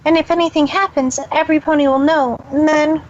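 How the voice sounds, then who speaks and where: A woman's voice: high voice, fairly even intonation, a little fast, lightly acted. A woman voicing a proud, flustered female pony magician in a fan fiction audio reading. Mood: nervous. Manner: boastful third-person self-reference, nervous. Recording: dull lo-fi recording.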